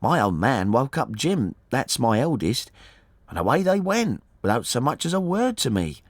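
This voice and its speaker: An anxious, chatty working-class wife from a storybook, played by a man, pitched high and swinging as she talks. Her speech is colloquial and deferential, full of fretting, and the sound is close and dry. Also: big pitch swings, normal speed, no room sound. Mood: uneasy, insistent. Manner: chatty, colloquial, deferential, saying sir often. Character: anxious, talkative working-class married woman, stoutish. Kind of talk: audiobook character voice.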